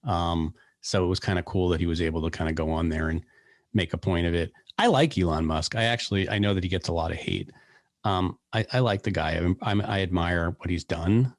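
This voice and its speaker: New man co-hosting a podcast, fairly low voice, talks in quick animated rambles. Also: dry recording, clean studio sound. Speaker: podcast co-host. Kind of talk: casual podcast chat.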